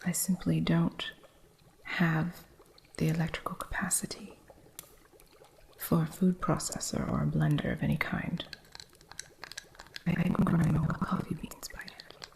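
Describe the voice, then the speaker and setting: A woman whispering an ASMR roleplay as a witch shopkeeper, gently teaching and teasingly strict with her apprentice. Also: low voice, flat delivery, a little slow, creaky, long pauses. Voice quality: soft unvoiced whisper. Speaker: ASMR artist.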